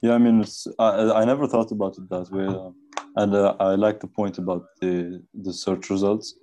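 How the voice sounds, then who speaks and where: A man's voice: on the low side, little pitch movement, moderate pace, dark tone, slight background noise. A man hosting a football podcast, talking casually and hesitantly with frequent fillers. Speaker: podcast host.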